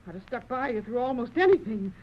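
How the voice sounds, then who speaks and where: Muffled vintage radio, actress playing American frontier wife, fairly high voice, earnest, folksy, emotional western melodrama. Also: female voice, lively intonation, fast talker, slight background noise, close to an everyday voice. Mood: disappointed. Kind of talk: 1940s radio western acting. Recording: muffled old radio recording.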